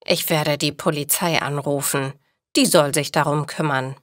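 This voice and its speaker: A woman's voice: low voice, steady tone, moderate pace, dry recording, clean studio sound, natural voice. Woman playing an indignant, fussy older lady. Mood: grumbling. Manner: scolding, self-righteous. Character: indignant, fussy older female neighbour complaining.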